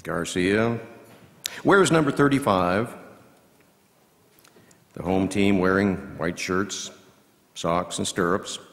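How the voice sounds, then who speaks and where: A man playing a seasoned, folksy baseball radio announcer, giving play-by-play with measured asides that break into punchy, drawn-out calls. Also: low-pitched, gravelly, full character voice. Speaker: reader performing a scripted baseball commentary. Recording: long hall reverb from live venue.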